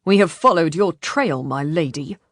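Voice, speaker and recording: A woman's voice: low voice, animated, moderate pace, dry recording, studio quality, pitched below the performer's own voice. Woman voicing a curt, stern male galley commander. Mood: accusing.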